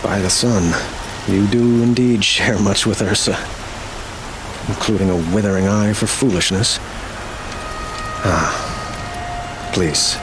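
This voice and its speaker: A man's voice: sits low, poor audio. An actor playing an earnest, courtly king in a video game, speaking formally and eloquently with some hesitance. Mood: embarrassed, contrite. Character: earnest, courtly young male Sun King. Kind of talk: video game dramatic dialogue.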